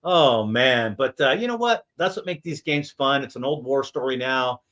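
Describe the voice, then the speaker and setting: A man's voice: mid-range voice, expressive, moderate pace, a bit bright, dull audio. A man hosting a casual sports chat, with a folksy, emphatic way of talking. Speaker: sports show host.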